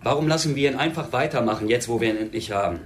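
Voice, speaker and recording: A man's voice: mid-range voice, fast, natural voice. An actor in a German radio drama playing a hot-headed male agent who is blunt, pressing and eager to act. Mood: impatient.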